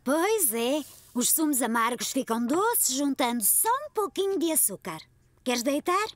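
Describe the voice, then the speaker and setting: A woman's voice: sits high, very animated, measured pace. A woman with a European Portuguese accent voicing a kind, patient grandmother in a dubbed cartoon, speaking in an encouraging way. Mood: gentle.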